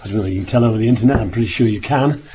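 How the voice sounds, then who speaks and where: A man giving a sermon in a meeting room, speaking hoarsely as he nearly loses his voice, rambling with many restarts. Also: on the low side, racing, mellow, slight background noise. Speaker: minister. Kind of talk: sermon opening with travel account.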